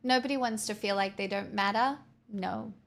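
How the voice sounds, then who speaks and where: Streamer lady with a creaky voice, middle pitch, just chatting, kinda rambly and blunt. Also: normal speed, fairly bright tone. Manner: candid, rambling, frequent restarts and swearing. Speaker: live streamer. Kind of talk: casual chat, answering viewer messages.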